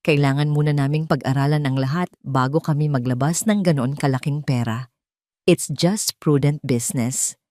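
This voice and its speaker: A woman's voice: low voice, normal speed, warm tone, no echo, studio quality, natural voice. A woman voicing a formal, evasive male investor in an audio drama, polite and noncommittal.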